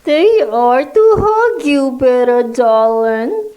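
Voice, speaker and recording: Woman as high-voiced, sugary wolf in disguise. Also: big pitch swings, takes it slowly, mellow, full character voice. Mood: falsely tender. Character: wolf posing sweetly as others to trick prey. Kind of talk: children's radio drama acting.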